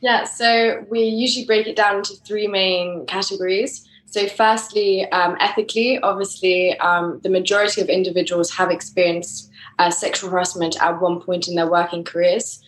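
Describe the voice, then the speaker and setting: A woman's voice: fairly low pitch, fairly even intonation, normal speed. Young Englishwoman in an echoey room. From England. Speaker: startup co-founder and CTO. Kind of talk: interview answers.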